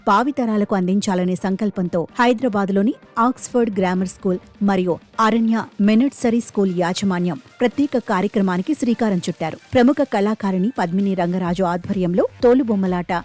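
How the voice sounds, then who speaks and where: A woman's voice: mid-range voice, brisk, a little mellow, soft background music. A woman reading a scripted news feature narration as a voice-over.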